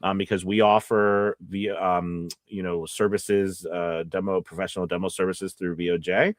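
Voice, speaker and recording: A man's voice: deep voice, little pitch movement, moderate pace, no echo. A man hosting a casual live Q&A as a voice-over coach, talking in a hesitant, stop-and-start way.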